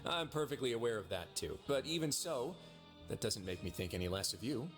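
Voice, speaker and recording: A man voicing a composed, wry duke in a video game, with a measured, courteous and dryly amused manner. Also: medium pitch, sing-song, close to an everyday voice. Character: composed, wry adult male duke in authority. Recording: music behind the voice.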